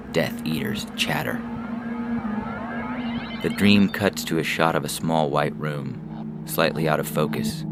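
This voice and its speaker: A man giving a solemn, ominous literary reading of a surreal story in a deliberate manner. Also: very deep voice. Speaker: story reader. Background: loud music.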